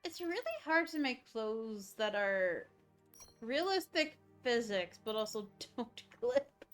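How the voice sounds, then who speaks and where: A woman's voice: a little high, big pitch swings, slow pace, soft background music. A woman game streamer giving casual live commentary, musing aloud to herself as she plays.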